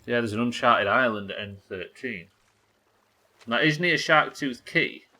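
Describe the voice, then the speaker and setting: A man with a bright, forceful voice at an ordinary male pitch. He commentates casually as a game streamer, musing out loud and often questioning his own reasoning. The talk is informal and a bit sweary. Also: lively intonation, moderate pace. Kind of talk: casual gaming livestream commentary, thinking aloud.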